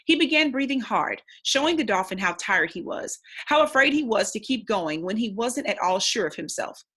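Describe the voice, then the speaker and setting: A woman's voice: on the high side, expressive, moderate pace, fairly bright tone, breathy edge. A woman reading a storybook aloud expressively, in the instructive, simple manner of a teacher with young listeners.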